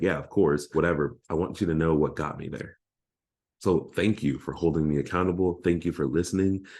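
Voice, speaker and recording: A man's voice: a bass voice, a little mellow. A man in his thirties, a life coach giving an earnest, heartfelt motivational talk.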